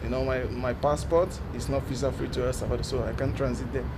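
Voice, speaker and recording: A man in his twenties with a Nigerian accent, recounting his journey in a documentary interview in halting, repetitive bursts. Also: mid-range voice, flat delivery. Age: 20s. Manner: halting, repeats himself, talks in short bursts. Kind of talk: personal account in an interview. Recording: loud street and traffic noise.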